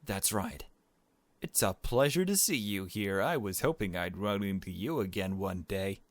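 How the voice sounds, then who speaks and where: Man voicing a courteous, genteel gentleman. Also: low-pitched, moderate pace, dry recording, close to an everyday voice. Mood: polite, friendly. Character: polite, genteel, slightly awkward man in a library. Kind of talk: visual novel character read-aloud.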